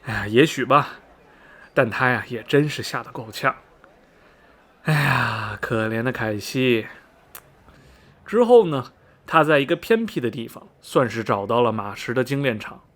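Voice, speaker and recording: A man acting in a radio drama as a chatty, superstitious small-town local, telling rumours in a folksy, animated way full of interjections. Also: mid-range voice, unhurried, pauses often. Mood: gossipy. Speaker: actor in an amateur student radio drama.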